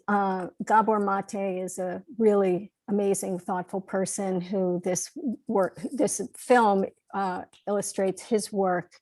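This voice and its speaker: A woman's voice: mid-range voice, darkish tone, no room sound. A woman speaking as a panelist in an earnest, reflective and personal way. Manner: earnest, thoughtful. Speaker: roundtable panelist. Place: online video meeting.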